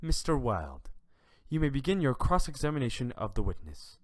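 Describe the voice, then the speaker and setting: A man's voice: mid-range voice, sing-song, moderate pace, lightly acted. A man playing a stern, stately courtroom judge in an amateur audio drama, speaking in a solemn, formal manner.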